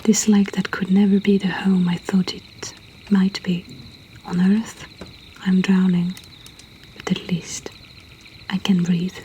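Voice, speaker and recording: A woman reading poetry and stories in a breathy whisper, in a soothing, hushed ASMR style. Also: mid-range voice, little pitch movement, slight background noise. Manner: slow, soothing, hushed delivery. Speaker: poetry reader and host.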